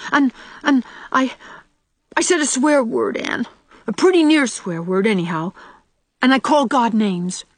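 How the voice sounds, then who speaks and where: A woman voicing a remorseful, excitable, impish little boy in a storybook, blurting out childish, emphatic speech. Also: medium pitch, normal speed, no echo, pitched above the performer's own voice. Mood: ashamed, confessing. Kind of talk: audiobook character voice.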